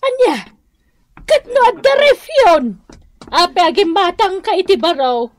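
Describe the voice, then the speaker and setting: A woman's voice: very high-pitched, sing-song, unhurried, heavily acted. A woman playing a scolding, disapproving mother in an Ilocano radio comedy drama, nagging and scandalized, with an Ilocano accent.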